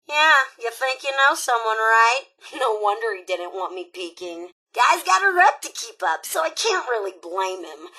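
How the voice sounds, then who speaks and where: Sassy female robot raider, video game character, bright forceful tone, husky edge, cocky, flighty, teasing, slangy, dramatic emphasis. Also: mid-range voice, rough recording. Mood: knowing, smug.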